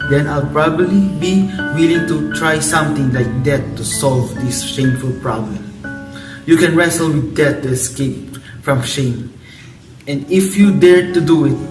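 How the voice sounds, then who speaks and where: A man's voice: medium pitch, natural voice. A man playing a wise, fatherly friar giving counsel in an amateur radio drama. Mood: grave, sympathetic. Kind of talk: student radio drama acting of modernised Shakespeare. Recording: echoey, distant mic, loud music behind. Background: music.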